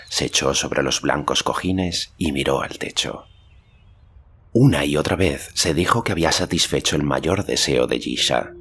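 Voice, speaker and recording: A man's voice: extremely low pitch, a bit bright, a little hiss or hum. A man reading a fantasy novel aloud as scripted literary narration. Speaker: audiobook narrator.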